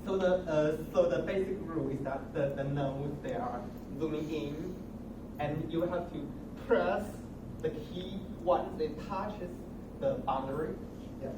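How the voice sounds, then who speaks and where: A young man giving an impromptu project demonstration, haltingly, often repeating and restarting his words. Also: on the high side. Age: young adult. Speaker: student presenter. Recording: roomy, distant mic with noticeable noise.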